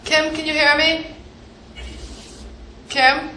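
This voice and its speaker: A woman handling practical setup details in a brisk, businesslike way. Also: sits high, flat delivery, recorded from a distance, a crowd in the background. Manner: brisk, practical logistics talk. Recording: echoey room with hiss.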